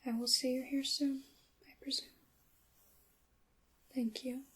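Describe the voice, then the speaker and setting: A woman playing a courteous witch shopkeeper in an ASMR roleplay, whispering softly and breathily with formal, polite, old-fashioned wording. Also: high voice, flat delivery, measured pace, slightly rough. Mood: gracious, calm. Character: courteous witch shopkeeper selling handmade magical wares.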